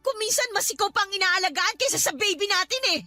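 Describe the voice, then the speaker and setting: A woman with a Filipino accent playing an exhausted, sharp-tongued young mother in a radio drama, scolding and sarcastic. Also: very high-pitched, expressive, fast talker, heavily acted. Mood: aggrieved. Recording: music behind the dialogue.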